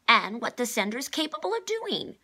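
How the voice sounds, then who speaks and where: A woman's voice: a little high, sing-song, normal speed, pushed and bright, pitched above the performer's own voice, full character voice. A woman voicing a scientist's anxious, loyal assistant in a storybook, protesting emphatically. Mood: insistent.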